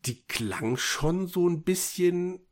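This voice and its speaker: A man's voice: high-pitched, expressive, slow. A man co-hosting a podcast, giving a casual, conversational recap and review. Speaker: podcast co-host.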